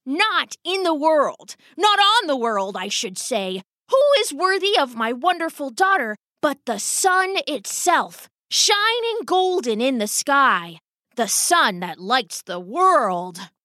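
A woman's voice: sits high, sing-song, slow pace, pushed and bright, dry recording, studio quality, exaggerated voice. A woman voicing a stubborn, doting old father rat with theatrical, emphatic bluster in a children's story. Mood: excited, grand.

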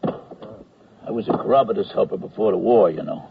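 An actor playing a stubborn, officious army medical sergeant with gruff, slangy talk in a radio comedy drama. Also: male voice, on the low side, moderate pace, noisy background. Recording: dull, band-limited radio recording.

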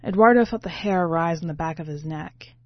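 Female short-story narrator, lower register, roomy and slightly dull podcast sound. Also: a little fast. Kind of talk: literary audiobook-style narration. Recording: muffled, roomy home recording.

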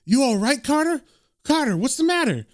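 A man's voice: very high voice, big pitch swings, normal speed, pitched above the performer's own voice. A man voicing a worried British bloke who pleads with his mate.

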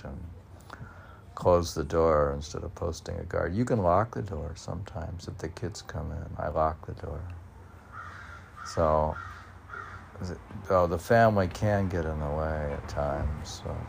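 Male poet, very low gravelly voice, rambling self-questioning delivery, halting and teasing, faint background noise. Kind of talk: rambling solo poetry reading and commentary.

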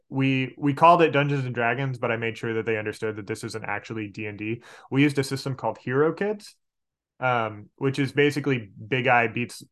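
A man's voice: medium pitch, a bit bright. A man talking casually as an interview guest on a podcast, enthusiastic, often repeating words as he finds his way. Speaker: podcast guest, tabletop game master and elementary teacher.

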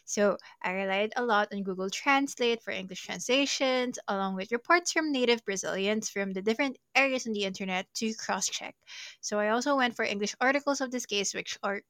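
A woman's voice: mid-range voice, fairly quick, a bit bright, no room sound. A young adult woman hosting a true crime podcast, narrating casually with jokey, self-deprecating asides. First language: not Portuguese. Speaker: podcast host.

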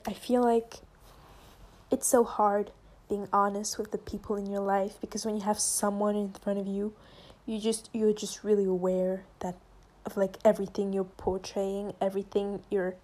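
A teenage girl with a French accent talks into her phone in a loose, rambling podcast, backtracking and restarting her thoughts as she goes. English is not her first language. Her voice is mellow and warm. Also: mid-range voice, level tone, moderate pace. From France. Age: teens. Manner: rambling, self-interrupting, chatty. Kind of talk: casual solo podcast.

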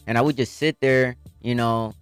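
A young man from Puerto Rico, a boxer, telling his life story in an earnest, soft-spoken way with many fillers. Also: mid-range voice, fairly even intonation, normal speed, clean tone, no echo, soft background music. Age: young adult. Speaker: professional boxer guest. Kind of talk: interview storytelling.

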